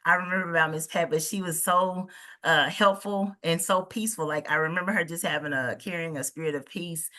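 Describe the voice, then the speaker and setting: A woman's voice: lowish voice, a little fast, sharp tone. A woman hosting a livestream as the organization's founder, speaking casually and effusively while introducing a guest.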